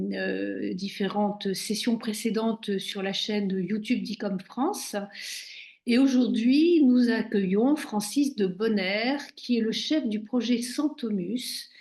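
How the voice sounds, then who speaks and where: A woman hosting a webinar, introducing the session and its guest in a halting way with frequent fillers. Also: medium pitch, darkish tone. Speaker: host.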